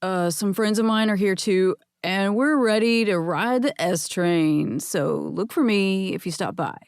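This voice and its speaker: A woman voicing a drunk young man who rambles loudly with slurred, halting speech. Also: medium pitch, smooth, dry recording, studio quality, lightly acted. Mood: tipsy. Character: drunk young man shouting over a club.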